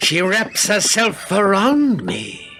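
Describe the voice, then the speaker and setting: Man playing a haughty king, slow and declamatory. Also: sits high, wildly swooping pitch, over loud music, full character voice. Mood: scornful, gloating. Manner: pompous, declamatory archaic speech. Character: haughty, greedy warlord king and father. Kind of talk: radio play acting.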